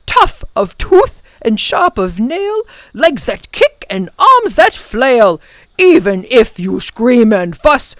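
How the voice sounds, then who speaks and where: A woman voicing gleeful, rude swamp creatures from a children's storybook, taunting with drawn-out, mock-sweet exclamations. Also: on the high side, darkish tone, no room sound, full character voice. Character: jeering, gleeful shaggy swamp monsters, rude and mocking.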